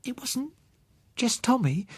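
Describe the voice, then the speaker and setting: A man's voice: very high voice, very animated, takes it slowly, husky, no room sound, voice pushed up, heavily acted. A man voicing a little orphaned girl with a small, childlike voice, earnest and simple in an audiobook story. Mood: tearful, frightened.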